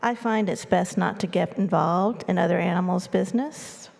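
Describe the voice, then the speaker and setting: A woman's voice: on the low side, normal speed, creaky, a little mellow. A woman telling a story, voicing an aloof, dismissive male giraffe who will not get involved. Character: aloof male giraffe, amused, unwilling to help. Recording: echoey hall with long reverb.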